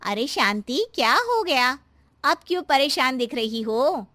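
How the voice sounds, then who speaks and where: A woman voicing a nosy, teasing, blunt neighbour in an animated story. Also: sits high, unhurried, no room sound, heavily acted. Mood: inquisitive. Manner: prying, blunt.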